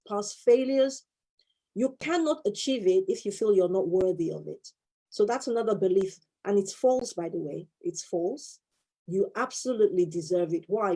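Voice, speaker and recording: A woman's voice: mid-range voice, dark tone, poor audio. A woman in her fifties, a life coach and former solicitor, giving an earnest motivational talk and repeating her key phrases for emphasis.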